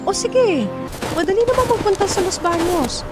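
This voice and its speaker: A woman voices an eager young female teacher who clings to her lover and pleads, her voice sitting high. She talks quickly to fill every silence, with loud music playing beneath her lines in this radio drama. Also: animated. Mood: pleading. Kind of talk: Tagalog radio drama acting.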